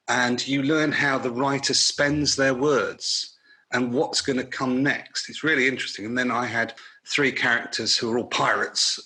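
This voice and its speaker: Male podcast host, bright punchy voice, medium pitch, casual interview chat. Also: poor audio.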